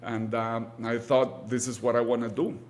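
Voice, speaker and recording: A man's voice: medium pitch, flat delivery, moderate pace, darkish tone. A man from Mexico City, a native Spanish speaker with a Mexican accent, answering interview questions as a surgeon, with hesitant phrasing and repeated words for emphasis. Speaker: guest, orthopedic surgeon.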